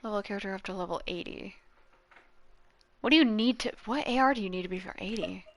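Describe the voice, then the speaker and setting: A woman's voice: mid-range voice, fast. A young woman chatting casually as she gives gameplay advice, rambling and thinking aloud. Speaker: gaming content creator. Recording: game music behind.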